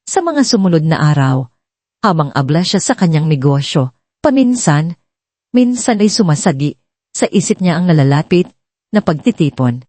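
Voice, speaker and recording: A woman's voice: low voice, big pitch swings, moderate pace, dark tone, no room sound. A woman reading a scripted dramatic story as a storyteller.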